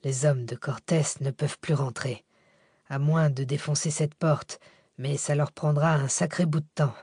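Curt, commanding man woman narrator performing, hushed and husky, desperate escape story. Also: fairly high pitch, steady tone, normal speed, no room sound, studio quality, natural voice. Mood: exhausted relief.

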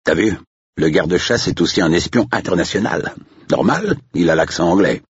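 A man's voice: low voice, lively intonation, moderate pace, husky, dry recording, dull audio, pitched above the performer's own voice, exaggerated voice. A man voicing a cocky older estate agent in an audiobook story, scornful and taunting. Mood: mocking. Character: older male estate agent, sneering, mocking, cocky swindler.

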